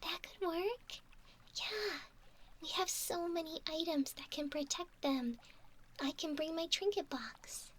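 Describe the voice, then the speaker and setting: A woman playing a sweet, curious, cautious young mermaid in a whispered ASMR roleplay, with a soft, breathy, girlish voice and a wondering, halting delivery. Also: extremely high pitch, a little hiss or hum, heavily acted. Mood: eager, helpful.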